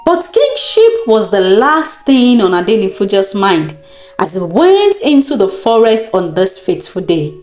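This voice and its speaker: A woman with a Nigerian accent narrates a folktale as a storyteller, in a deliberate, dramatic and expressive manner. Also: mid-range voice. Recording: roomy echo with music underneath. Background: music.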